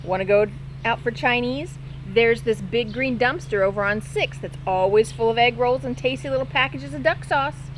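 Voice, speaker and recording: A woman's voice: on the high side, moderate pace, a little hiss or hum, lightly acted. A woman voicing a chatty, cheerful small male bat who talks eagerly and bounces along in a storybook reading.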